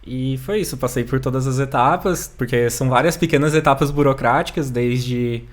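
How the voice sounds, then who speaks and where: A man's voice: mid-range voice, moderate pace. A young man with a Southern Brazilian accent, a university student guest on a podcast, who gives brief agreeing replies and matter-of-fact explanations. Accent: Southern Brazilian Portuguese. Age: young adult. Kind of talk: conversational interview.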